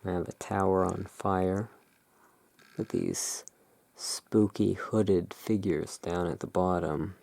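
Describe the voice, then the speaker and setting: A person giving a relaxed, musing ASMR commentary as a tarot reviewer, talking in an unhurried, unscripted way. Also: deep voice, gravelly, dry recording. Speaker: tarot reviewer in a roleplay as emporium owner.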